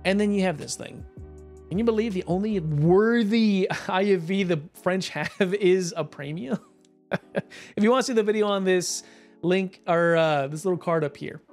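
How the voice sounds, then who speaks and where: Hoarse, chatty man with a higher voice. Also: moderate pace, faint music behind. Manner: chatty, rambling, with frequent fillers and short laughs. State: hoarse, tired voice after a long stream. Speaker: gaming YouTuber. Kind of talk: casual gameplay commentary.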